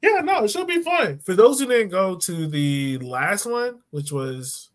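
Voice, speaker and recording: A man's voice: sits high, big pitch swings, a little slow. A young man co-hosting a soccer podcast in casual, slangy fan chat over a video call. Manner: slangy, informal. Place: indoor room at home, on a video call.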